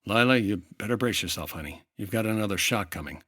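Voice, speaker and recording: An actor playing a cool, world-weary hard-boiled insurance investigator in a 1950s-style radio detective drama. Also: male voice, fairly low pitch, expressive, fast, sharp tone, breathy edge, no echo, studio quality, exaggerated voice. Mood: tender.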